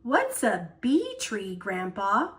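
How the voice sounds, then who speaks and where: A woman's voice: medium pitch, wildly swooping pitch, slow pace, a bit bright, heavily acted. A woman voicing a restless, curious young girl in a picture-book read-aloud, plaintive and questioning.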